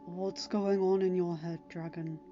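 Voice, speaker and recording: A woman voicing a gentle but quietly firm young king in an audiobook, soft, patient and coaxing. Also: on the low side, little pitch movement, measured pace, pitched below the performer's own voice, close to an everyday voice. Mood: gently concerned. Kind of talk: acted audiobook dialogue. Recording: dull lo-fi home recording, music underneath.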